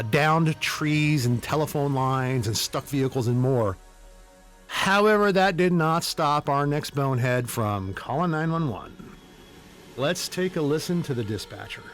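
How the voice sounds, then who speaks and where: A man's voice: on the high side, unhurried, a bit bright, faint music behind. A man hosting a podcast, telling humorous stories in a casual, wry, tongue-in-cheek way. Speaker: podcast host. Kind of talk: casual humorous storytelling commentary.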